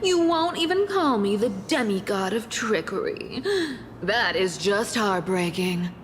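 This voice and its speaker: An actress playing a mocking, playful catlike trickster demigod in a video game. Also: female voice, medium pitch, expressive, measured pace, natural voice. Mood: taunting. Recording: game audio with long reverb and music.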